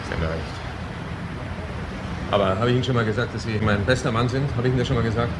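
An actor playing a brusque but caring boss who coaxes and scolds in a crime radio drama. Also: male voice, mid-range voice, racing, roomy sound, heavy background noise, lightly acted. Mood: encouraging.

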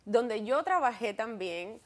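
A middle-aged woman speaks in a fairly high voice with a Cuban accent. She hosts a television interview in a conversational style. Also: animated, slow. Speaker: talk show host. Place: television studio set with sofas.

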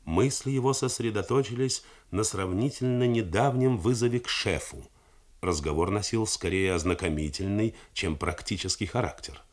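A man narrating a novel in a measured, theatrical reading style for a 1980s radio broadcast. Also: lowish voice. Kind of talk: scripted literary narration.

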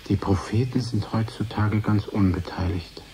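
Ein Schauspieler spielt in einem Hörspiel einen grüblerischen Künstler, der nachdenklich mit sich selbst spricht. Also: male voice, lowish voice, normal speed, mellow, a little hiss or hum, close to an everyday voice, poor audio. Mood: pensive. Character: brooding male artist musing alone to himself. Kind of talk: introspective radio drama monologue.

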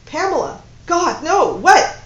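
A woman's voice: on the high side, big pitch swings, pitched above the performer's own voice. Woman voicing a desperate pleading man. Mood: alarmed. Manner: pleading, gentle, halting. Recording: dull, roomy recording with faint hum.

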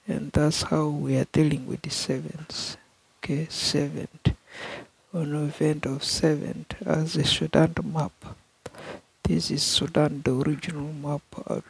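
Slow, gravelly man rambling with many repeats. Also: on the high side, darkish tone, rough recording. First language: not English. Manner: slow, halting, repeats words over and over. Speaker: channel host. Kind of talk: rambling off-camera walkthrough. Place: apartment room with a window view. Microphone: phone camera held off-camera.

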